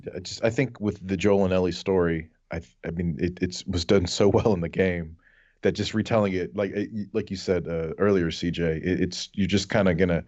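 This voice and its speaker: A man's voice: sits low, steady tone, a little fast, darkish tone, dry recording, muffled recording. A man co-hosting a podcast in casual, blunt conversation, giving his opinions. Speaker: podcast co-host.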